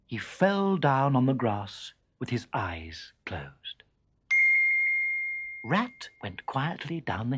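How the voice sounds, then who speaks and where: Male storybook narrator: measured pace, lively pitch, suspenseful delivery that relishes each sound word. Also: husky. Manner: expressive, suspenseful, savouring the sound words. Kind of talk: dramatic children's story narration.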